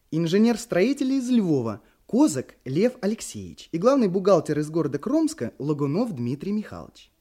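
An actor playing a breezy, wisecracking police operative in a Russian radio drama, giving clipped reports with a jaunty, playful manner. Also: male voice, high-pitched, mellow, heavily acted.

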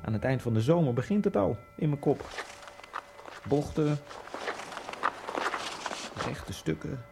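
A man's voice: fairly high pitch, lively intonation, slow pace, creaky, long pauses. An actor playing an Egyptian immigrant, telling his story in a dreamy, brooding radio drama monologue over music. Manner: brooding, dreamy inner monologue with sudden bursts.

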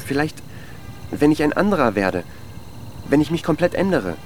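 A man's voice: fairly high pitch, sing-song, moderate pace, a little hiss or hum. An actor playing a needy, insecure, lovelorn man who dithers and pleads in a naturalistic radio drama. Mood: pleading, self-pitying.

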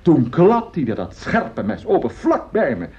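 A man's voice: a little high, huge pitch swings, unhurried, darkish tone. An actor in a radio play as a boastful, jovial, germ-shy opera singer who chats effusively and repeats his words. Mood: animated storytelling. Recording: dull, lo-fi old radio recording.

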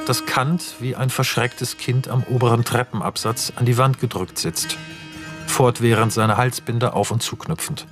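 A man narrating a radio play, reading out scripted stage directions in a measured, matter-of-fact way. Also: medium pitch, lightly acted.

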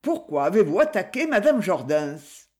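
A man voicing a cool police detective who questions and reasons in calm, clipped phrases. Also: sits high, moderate pace. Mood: questioning.

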